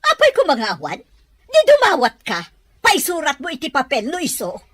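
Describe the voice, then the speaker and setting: A woman's voice: high voice, huge pitch swings, normal speed, a bit bright, dry recording, studio quality, full character voice. A Filipino woman playing an imperious, nagging rich mistress and mother in a melodramatic audio drama. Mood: scolding.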